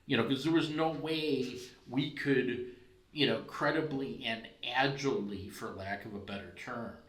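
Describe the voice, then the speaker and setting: A man's voice: mid-range voice, a little slow, a bit bright, roomy sound, rough recording. A man hosting a podcast who talks in a discursive, conversational way with many asides. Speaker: podcast host. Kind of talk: conversational interview.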